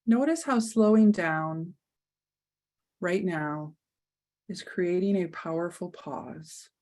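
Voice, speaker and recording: A woman leading a guided meditation, speaking softly and soothingly with long pauses. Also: on the low side.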